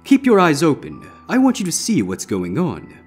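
An ominous male stage magician, acted out by a man for a fan fiction story. The voice is husky and higher than average, swinging dramatically in pitch with deliberate, showy patter. Faint music plays underneath. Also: huge pitch swings, exaggerated voice. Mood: commanding, eerie. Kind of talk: character voice in audiobook-style fan fiction reading.

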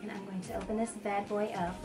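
A woman's voice: mid-range voice, flat delivery, quick pace. A young woman hosting a casual vlog, chatting to camera with playful, slangy banter. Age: young adult. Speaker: vlog host. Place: small indoor room, sequin backdrop. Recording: slightly distant mic, music behind.

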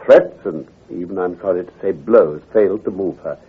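An actor playing an assured, precise gentleman detective who explains with authority in a radio drama. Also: low voice, normal speed, husky. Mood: assured, explanatory. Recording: tinny, hazy old radio recording.

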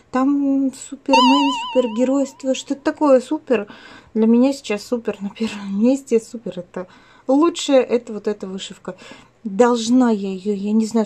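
A woman's voice: a little high, normal speed, warm tone, slight background noise. A woman talking casually as a craft vlogger at home, rambling and interrupting herself in an affectionate way. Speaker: craft vlogger, channel host. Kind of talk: casual chatty craft vlog. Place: at home, indoors.

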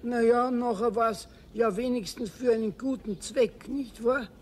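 A man's voice: very high-pitched, fairly even intonation, unhurried. A man playing a kindly, teasing old caretaker with a Bavarian accent in a children's radio play. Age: older. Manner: good-natured, repeating himself.

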